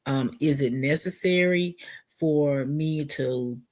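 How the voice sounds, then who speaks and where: A woman's voice: sits low, slow, a little mellow, faint music behind. A woman author talking in an earnest, reflective podcast conversation, asking herself searching questions. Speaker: author and guest. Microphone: remote video call.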